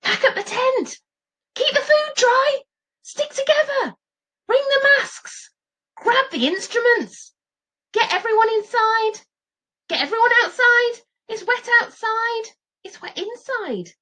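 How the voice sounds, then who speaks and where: A woman voicing panicking Stone Age tribespeople in a storybook, shouting clipped, loud commands. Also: very high voice, lively intonation, takes it slowly, pitched above the performer's own voice, full character voice. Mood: panicked.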